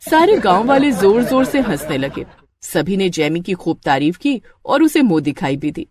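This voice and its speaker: Animated woman story narrator. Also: mid-range voice, moderate pace, a crowd in the background. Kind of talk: scripted narration of a moral story.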